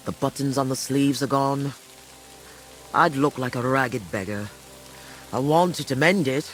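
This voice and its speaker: Slow, wistful old village widow. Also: female voice, extremely low pitch, heavily acted, low-quality recording. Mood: rueful. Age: older. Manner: wistful, halting, fond reminiscing. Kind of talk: dramatic video game dialogue.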